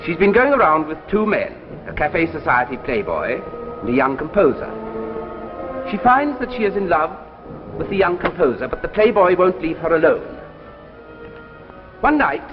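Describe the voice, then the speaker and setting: An actor playing an older, courteous and persuasive successful author in a 1950s radio drama, with a theatrical, gracious manner. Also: male voice, a little high. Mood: dramatic, suspenseful. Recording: muffled, hissy old radio recording, reverberant.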